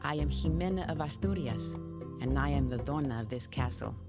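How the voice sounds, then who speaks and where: An actress playing a medieval Spanish noblewoman who recounts her husband's deeds in measured, scripted storytelling for a game. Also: very deep voice, lively intonation, over loud music. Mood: solemn, wistful.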